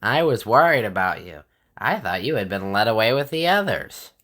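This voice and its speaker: A man's voice: lowish voice, very animated, moderate pace, fairly bright tone. A man playing a kindly, earnest and welcoming governor in an audio drama.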